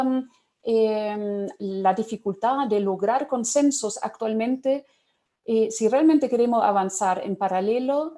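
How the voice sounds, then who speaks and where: A woman from Germany speaking with a German accent, giving a thoughtful academic panel talk with many pauses and fillers. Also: on the high side, dark tone.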